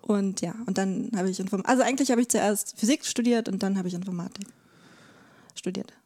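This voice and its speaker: A woman's voice: medium pitch, brisk, a little mellow. Young woman, wry podcast guest. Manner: wry, self-ironic. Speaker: interview guest, research associate. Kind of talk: casual interview conversation.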